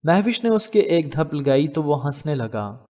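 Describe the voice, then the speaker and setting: A man's voice: a little high, sing-song, unhurried, a little mellow. A man narrating a romantic novel as a scripted, dramatic audiobook reading. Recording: long hall reverb on voice.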